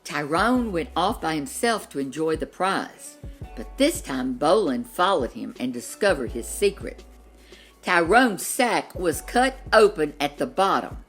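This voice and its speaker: A woman storyteller giving an expressive reading of a children's story. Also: sits low, a little slow, soft background music.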